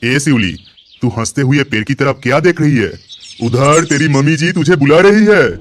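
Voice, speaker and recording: A man voicing a kindly, gentle uncle talking familiarly to a child in a children's story. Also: medium pitch, normal speed, slight background noise, natural voice. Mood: urging. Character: kindly uncle speaking to his small niece.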